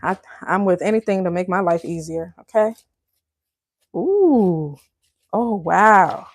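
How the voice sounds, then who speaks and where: A woman's voice: lowish voice, expressive, slow pace, vocal fry, dry recording, clean studio sound. A woman from Liberia hosting a live cooking stream, chatting casually with viewers and calling them y'all. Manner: chatty, addresses viewers as y'all, laughs often. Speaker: cooking channel host.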